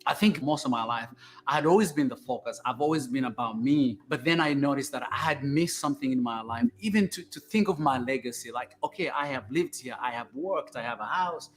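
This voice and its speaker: A man's voice: mid-range voice, brisk, slight background noise. A man from Uganda, a foster and adoptive father, telling his life story in an earnest, reflective, heartfelt way. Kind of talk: personal testimony in a documentary clip.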